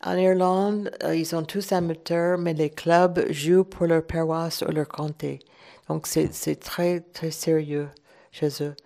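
A woman's voice: low-pitched, flat delivery, slow, gravelly, dark tone. A woman from Ireland, speaking French as an as a radio guest, hesitant and searching for words. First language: English. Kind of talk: relaxed radio chat.

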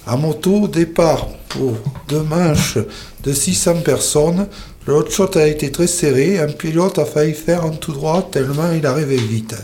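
A man's voice: a little high, measured pace, a little mellow, slight background noise. A man reading a local sports report in a plain way, with small slips and restarts.

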